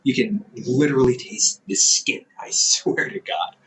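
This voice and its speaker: A man's voice: medium pitch, unhurried, fairly bright tone, recorded from a distance, poor audio. A man voicing a caring, goofy older brother in an audio roleplay, playful and chatty, laughing often.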